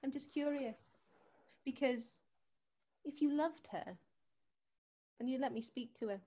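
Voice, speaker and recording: An actress plays a determined British woman in a stage drama, worried for her sister. Her voice sits high. She presses insistently and has a scornful edge. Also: a little slow, mellow. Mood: pressing, accusing. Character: worried, determined sister confronting a drug dealer. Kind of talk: acted scene from a drama showcase.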